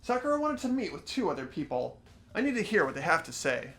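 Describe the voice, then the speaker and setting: A man's voice: fairly high pitch, sing-song, fast, fairly bright tone, reverberant. A man voicing an earnest, slightly hesitant teenage boy who reasons aloud as he narrates a game mystery. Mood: hesitant, resigned. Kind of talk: fan voice-over of visual novel game.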